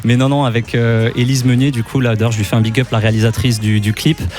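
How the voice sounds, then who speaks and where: A man's voice: mid-range voice, fast. A man guest on a radio show, talking casually about his music with lots of fillers and easy laughs. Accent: French. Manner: relaxed, chatty, many fillers and laughs. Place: radio studio.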